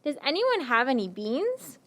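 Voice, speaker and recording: Woman voicing a high, lilting wandering stranger puppet. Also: very animated, normal speed, fairly bright tone. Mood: musing, hinting. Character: friendly, tired, hungry wandering stranger puppet, cleverly coaxing. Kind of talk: children's puppet show acting.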